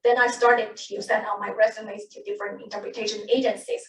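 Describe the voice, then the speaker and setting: A woman's voice: medium pitch, flat delivery, brisk, a bit bright. A woman in her thirties, an English speaker, telling the story of how she became a medical interpreter at a panel, with many restarts and self-corrections. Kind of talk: personal account at a panel. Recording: echoey room, distant mic, faint noise.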